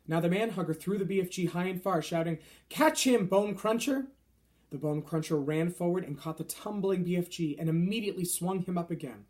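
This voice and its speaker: A man's voice: sits high, steady tone, fast, few pauses, mellow. A man reading a children's novel aloud as scripted narration. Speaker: storybook reader.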